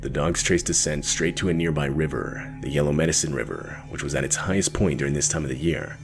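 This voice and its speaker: A man with an extremely deep voice reads a scripted true-crime narration. He speaks as the narrator of a documentary-style video. Music plays clearly behind him. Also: quick pace.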